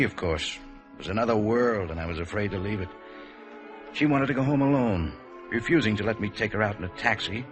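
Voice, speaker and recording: A low-voiced, earnest and wistful man speaks with dramatic, highly varied intonation. He is polite and introspective, in 1950s radio drama style, over prominent music. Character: earnest, wistful advertising man recalling an old infatuation.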